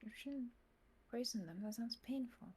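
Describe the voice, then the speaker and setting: A woman playing a tabletop roleplaying game online, talking in and out of character, often whispering and then bursting out loudly. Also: fairly high pitch, normal speed. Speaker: player.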